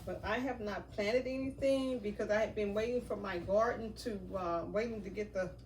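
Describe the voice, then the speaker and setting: A woman's voice: medium pitch, moderate pace, darkish tone. A chatty woman who sounds a bit nasal from a stuffy nose, talking through an unboxing in a loose, rambling way full of ums. She sits back from the microphone, so her voice sounds distant. A noticeable hiss runs underneath. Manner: chatty, rambling, many fillers. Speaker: vlogger. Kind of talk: casual unboxing vlog. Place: outdoors near a garden. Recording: noisy, from off mic.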